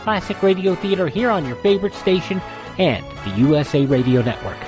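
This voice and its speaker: A man's voice: high voice, animated, brisk, over music. A man hosting a radio show, delivering lightly scripted announcements and listener plugs in a presenter's style. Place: dry studio, music behind.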